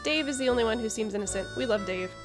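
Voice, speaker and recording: A woman giving casual, expressive playthrough commentary, thinking aloud. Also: a little high, fast. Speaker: game streamer. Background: game music.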